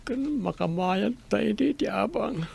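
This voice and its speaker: A man playing a sickly, struggling husband with a gravelly voice and an Ilocano accent in a radio drama. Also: high voice, animated, a little slow, darkish tone, full character voice. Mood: weary pleading. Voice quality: gravelly, creaky. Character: sickly, struggling husband pleading about money and medicine.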